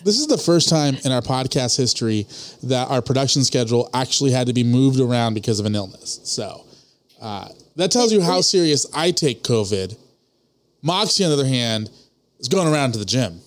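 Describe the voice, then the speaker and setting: A man's voice: medium pitch, very animated, brisk. A young man co-hosting a podcast in casual banter, full of sarcastic ribbing. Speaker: podcast co-host.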